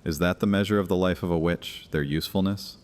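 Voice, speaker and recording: An actor playing an ancient vampire high priest in an audio horror drama, grandiose, sardonic and taunting. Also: male voice, steady tone, fast, darkish tone, close to an everyday voice. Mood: scornful. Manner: theatrical, sardonic, taunting, with casual asides. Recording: long cavernous reverb.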